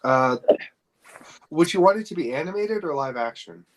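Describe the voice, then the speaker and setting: A man's voice: on the high side, animated, moderate pace, low-quality recording. A man hosting a podcast in casual conversation, posing questions and feeling out his phrasing. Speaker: podcast host.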